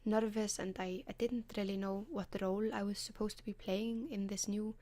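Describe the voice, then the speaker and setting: An Icelandic-accented woman whispers advice close and hushed, in the manner of an ASMR video. Her tone stays level with little rise or fall, and she hesitates often with soft ums. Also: mid-range voice, little pitch movement, moderate pace. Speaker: ASMR advice column host.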